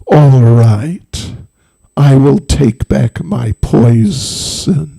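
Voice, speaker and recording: A man voicing a menacing divine snake in a storytelling, speaking solemnly in drawn-out, portentous phrases. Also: medium pitch, lively intonation, creaky, mellow, no room sound, clean studio sound, exaggerated voice. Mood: grave, relenting. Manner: slow, drawn-out, portentous delivery.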